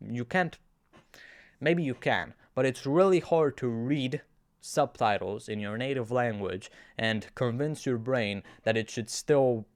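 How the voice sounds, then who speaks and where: A man's voice: mid-range voice, smooth, no room sound. A young man whose first language is Romanian, talking casually to his viewers in a chatty, self-mocking way, often restarting his sentences. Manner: chatty, self-deprecating, with frequent restarts and fillers. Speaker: YouTuber. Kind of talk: casual talking-to-camera vlog.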